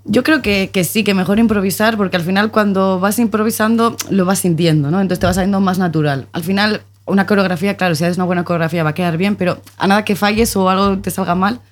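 A woman's voice: mid-range voice, steady tone, quick pace, hardly any pauses. A woman with a northern Spanish accent, chatting casually as a radio guest in a studio. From Bilbao, Spain. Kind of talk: casual chat.

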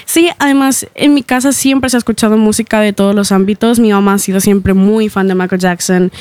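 A woman's voice: mid-range voice, fairly even intonation, fairly quick, darkish tone, clear, resonant tone, dry recording. A young woman from Ecuador who grew up in Spain, talking casually and chattily as a guest in a radio interview. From born in Ecuador, grew up in Spain from age eight. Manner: chatty, giggles often while talking. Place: radio studio, dry close sound.

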